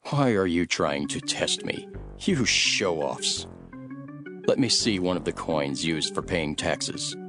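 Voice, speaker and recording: A man playing an authoritative teacher in a Bible audio drama, speaking in a firm, emphatic, measured way. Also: low-pitched, expressive, music in the background. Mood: stern.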